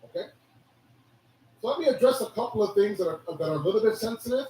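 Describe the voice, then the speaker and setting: A hoarse man giving a sermon, preaching with animated rhetorical questions. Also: high voice, fast talker. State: hoarse, losing his voice. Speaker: religious speaker. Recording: echoey hall from a distance.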